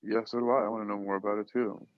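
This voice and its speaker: A man's voice: on the low side, level tone, rapid-fire, no echo. A man co-hosting a podcast, chatting casually in a rambling, musing way. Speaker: podcast co-host. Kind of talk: casual chat. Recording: dull, muffled lo-fi audio.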